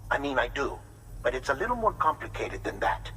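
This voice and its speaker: A man playing an earnest, uneasy researcher with a halting manner in a video game cutscene. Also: mid-range voice, very animated, sharp tone, slight background noise, rough recording. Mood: hesitant, reluctant. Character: earnest, uneasy male researcher, hesitant confider.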